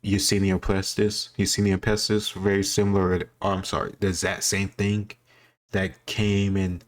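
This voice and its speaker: A man's voice: low voice, flat delivery, normal speed. A man giving casual commentary as he answers quiz questions, thinking aloud and doubting himself. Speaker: host reacting to a history quiz.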